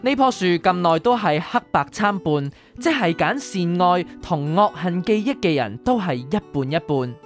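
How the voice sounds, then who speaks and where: A woman's voice: lowish voice, animated, normal speed, hardly any pauses, soft background music, close to an everyday voice. A woman playing a composed, enigmatic keeper of memories in the afterlife, in a radio drama. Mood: composed, explaining. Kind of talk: Cantonese radio drama acting.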